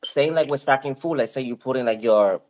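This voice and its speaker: A man's voice: medium pitch, fairly quick, darkish tone. A man with a Spanish accent talking candidly in a casual chat, rambling and often breaking off mid-sentence. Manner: rambling, self-interrupting, candid.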